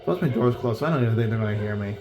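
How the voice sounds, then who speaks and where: A man's voice: on the low side, very fast, background noise. A young man from Pennsylvania giving casual, rambling gameplay commentary from his bedroom. He is chatty, drifts off topic and pokes fun at himself. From Pennsylvania, USA. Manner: chatty, easily sidetracked, self-mocking. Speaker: gaming YouTuber. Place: bedroom at home.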